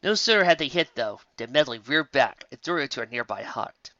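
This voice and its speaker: Lively, bright-voiced male story narrator. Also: mid-range voice, fairly quick, no echo, dull audio. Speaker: audiobook reader. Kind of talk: fan fiction audiobook narration.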